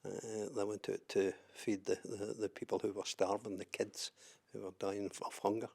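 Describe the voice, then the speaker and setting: A man's voice: on the low side, little pitch movement, moderate pace, creaky, a little mellow, breathy edge. An older Scottish man from southwest Scotland, recalling his life in an oral history interview, hesitant with many fillers and repeated words. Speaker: interviewee, trade unionist.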